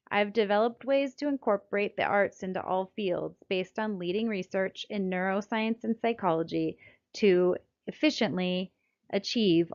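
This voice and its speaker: A middle-aged woman with an American accent reads aloud like a children's author presenting a book. Her pitch sits in the middle range and her delivery is deliberate and expressive. The recording is a bit dull and lo-fi. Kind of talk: scripted read-aloud storytelling and narration. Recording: dull, lo-fi sound.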